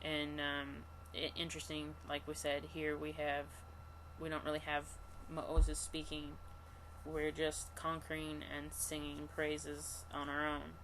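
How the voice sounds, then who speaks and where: A woman with a flat, monotone voice and a lowish pitch reads scripture slowly and talks it over for an online study group. Faint noise sits behind her computer mic. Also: poor audio. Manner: halting, with restarts and fillers between readings. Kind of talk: reading scripture aloud and discussing it. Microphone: computer mic for an online session.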